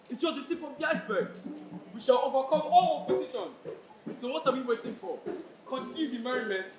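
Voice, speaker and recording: Man acting a high-voiced stately new king, echoey stage. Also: fairly bright tone. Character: newly crowned, scheming king addressing his people. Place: theatre stage. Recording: echoey stage hall, faint noise.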